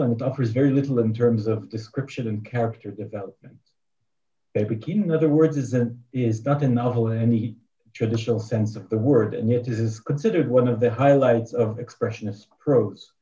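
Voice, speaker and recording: A man's voice: medium pitch, mellow, far from the mic, muffled recording. Man with a Hebrew accent lecturing. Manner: hesitant, many fillers and restarts, dry asides. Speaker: academic lecturer. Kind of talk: conference talk read from a prepared paper. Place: remote video conference.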